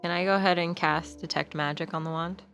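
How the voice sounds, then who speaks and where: A woman's voice: fairly low pitch, fairly even intonation, fast, a bit bright. A woman speaking casually as a player in a tabletop roleplaying game. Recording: clear background music under the voice. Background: music.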